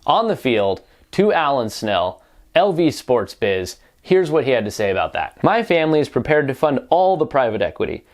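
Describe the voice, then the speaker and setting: A man's voice: medium pitch, sing-song, normal speed. Man giving pointed sports commentary. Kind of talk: opinionated video news commentary.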